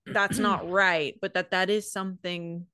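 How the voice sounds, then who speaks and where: Lower-pitched woman podcaster, dry close sound. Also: level tone, unhurried, sharp tone, clean tone, no echo. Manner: informal, conversational storytelling. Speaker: podcast co-host. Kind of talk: casual film recap chat.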